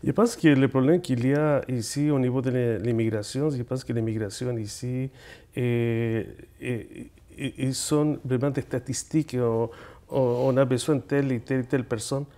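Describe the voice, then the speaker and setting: A middle-aged man with a Chilean Spanish accent talks in a mellow, dark voice as a guest on a television set. His answers come out earnest and halting, with words repeated while he looks for the next one. His pitch sits at an ordinary middle level. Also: steady tone. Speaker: guest poet and speaker. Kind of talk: studio interview answers. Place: TV studio.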